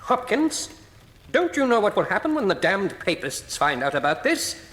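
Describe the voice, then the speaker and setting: An actor playing a brusque, pompous and sceptical senior British police chief in a period radio drama. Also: male voice, sits high, big pitch swings, moderate pace. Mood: exasperated. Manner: brusque, pompous, old-fashioned gentlemanly speech. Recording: long hall reverb.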